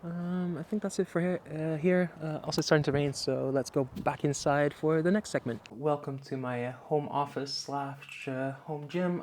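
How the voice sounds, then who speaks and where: A Dutch man talks casually to his own camera at a middling pitch, full of filler "uh"s and meandering explanations. His English carries a light Dutch accent. The sound is close and clean from a small clip-on mic. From Netherlands. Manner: relaxed, many filler "uh"s, rambling explanations. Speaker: YouTuber. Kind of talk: casual vlog talk to camera. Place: home office, indoors. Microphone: wireless clip-on mic.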